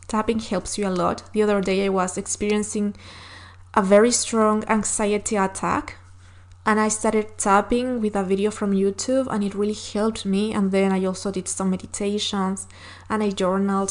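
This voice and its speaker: A woman whose first language is Spanish, hosting a solo podcast and giving earnest, encouraging advice with frequent fillers and restarts. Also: medium pitch. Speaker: podcast host.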